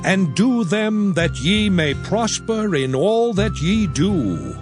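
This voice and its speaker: A man plays a solemn prophet leading his people in a scripture audio drama. He declaims each phrase deliberately, with grave weight. Music plays clearly behind his voice. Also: sits high, big pitch swings, exaggerated voice. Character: Moses, solemn male prophet and leader addressing his people. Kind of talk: dramatized scripture reading in King James English.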